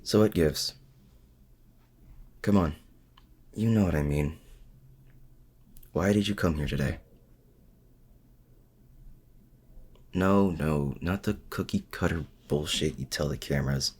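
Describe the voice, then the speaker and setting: A man playing a feared but self-doubting supervillain, confiding casually and haltingly in a heroic rival in an intimate ASMR roleplay. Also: a little mellow, full character voice. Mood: probing, concerned. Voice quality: very deep, gravelly. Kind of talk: intimate ASMR roleplay audio drama, addressing the listener.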